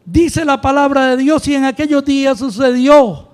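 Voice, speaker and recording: A man's voice: very high-pitched, moderate pace. A man delivers a sermon. He preaches with rising emphasis and keeps calling out to his congregation. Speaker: pastor.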